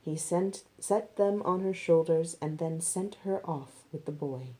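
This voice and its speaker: A woman reading scripted narration as expressive Bible storytelling. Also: lowish voice, a little slow.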